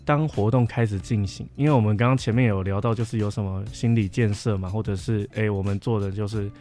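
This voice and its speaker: Music plays behind a young man with a fairly low voice. He speaks Taiwanese-accented Mandarin in a casual podcast style, explaining things at length in a reflective, hedging way. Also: steady tone, brisk, dark tone. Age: young adult. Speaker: camp counselor sharing experiences. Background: background music.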